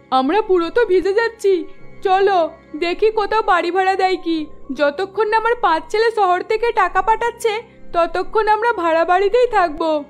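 A woman voicing an anxious, caring elderly village mother-in-law in a cartoon, with a plaintive, dramatic delivery. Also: very high voice. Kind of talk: cartoon story dialogue. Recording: music under the voice.

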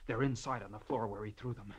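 An actor playing a shaken, anxious man in an old radio crime drama, halting and pleading. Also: lowish voice, fairly even intonation, natural voice. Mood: distraught. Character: shaken, anxious man who just killed in self-defense. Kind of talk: 1950s radio drama acting. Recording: noisy old radio recording.

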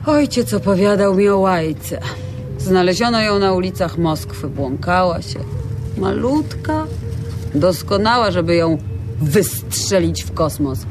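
A woman's voice: on the low side, expressive, a little slow, music in the background. An actress playing a stubborn young woman in a radio drama, talking in an insistent, matter-of-fact way. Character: stubborn young woman fixated on her own stories. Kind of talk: Polish radio drama acting.

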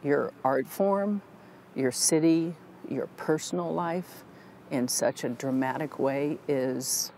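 A woman's voice: deep voice, takes it slowly, dark tone, a little hiss or hum. A middle-aged woman, a theater director, talking earnestly in a documentary interview, with a few restarts. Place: theater lobby, indoors.

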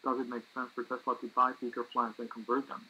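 A man's voice: medium pitch, little pitch movement, fast, bright, forceful tone, husky. A man moderating an earnings call, reading out submitted questions. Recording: muffled, noisy conference call audio.

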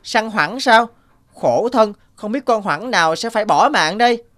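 A man's voice: high-pitched, expressive, normal speed, faint music behind. A man voicing a kind, timid young prince with a pleading manner in a cartoon. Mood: pitying. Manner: gentle, pleading.

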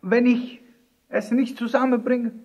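A man's voice: extremely high pitch, flat delivery, a little slow, a little mellow. A man playing a defiant but anxious pizzeria owner in an audio drama. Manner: indignant, flustered. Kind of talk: German audio drama acting.

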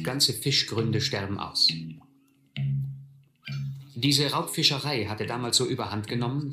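An actor playing a reflective man recalling his runaway youth, narrating a radio play in measured, literary sentences. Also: medium pitch, pushed and bright, reverberant. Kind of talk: first-person scripted narration in German radio play.

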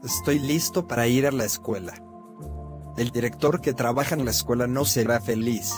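A man voicing a bratty bald cartoon boy who mocks grown-ups, with a flat, sneering delivery over music. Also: mid-range voice, normal speed, natural voice. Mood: smug. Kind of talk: fan-made cartoon dub.

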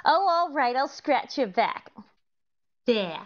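High-pitched scatterbrained radio wife, played by a woman. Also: sing-song, takes it slowly, lo-fi sound, heavily acted. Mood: hopeful, exasperated. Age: middle-aged. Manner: innocent, earnest. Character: sweet, scatterbrained, literal-minded comedian wife. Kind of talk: 1940s radio comedy acting.

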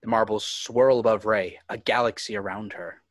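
A man's voice: on the low side, steady tone, moderate pace, no echo. A man reading scripted screenplay narration, describing scenes and action. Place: indoors on a home video call.

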